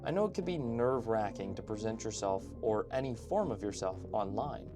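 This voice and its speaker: A man's voice: fairly low pitch, lively intonation, fairly quick. A man delivering casual, opinionated video essay commentary as a host, with informal conversational asides. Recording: background music under voice-over. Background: music.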